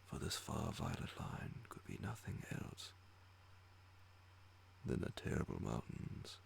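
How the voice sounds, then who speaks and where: A man reading a horror story as an ASMR bedtime narration, in a breathy, hushed whisper with deliberate phrasing. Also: a little hiss or hum. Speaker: audiobook reader of horror stories.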